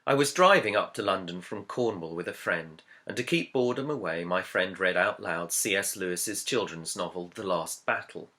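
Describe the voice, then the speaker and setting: A man, an author, reading his own book introduction as scripted narration in a measured, earnest, reflective way. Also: low-pitched, animated, sharp tone. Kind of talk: scripted audiobook-style narration.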